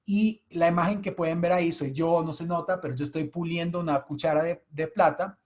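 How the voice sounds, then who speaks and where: A man's voice: a little high, steady tone, brisk, a little mellow. A man in his thirties, a native Portuguese speaker with a Portuguese accent, giving an explanatory webinar talk to an audience over a video call. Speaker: guest speaker. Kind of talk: webinar presentation.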